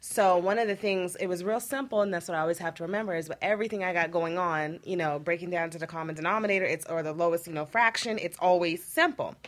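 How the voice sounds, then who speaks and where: A woman talking casually on a talk show. Her voice sits a little low, and she thinks aloud in a chatty way, often saying "you know.". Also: fast talker, hardly any pauses.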